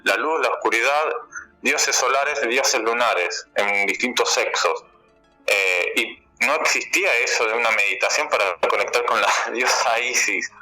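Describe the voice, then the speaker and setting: A man's voice: medium pitch, sharp tone, poor audio. A man with a Buenos Aires Argentine accent, talking casually as a card-reader guest in a radio interview.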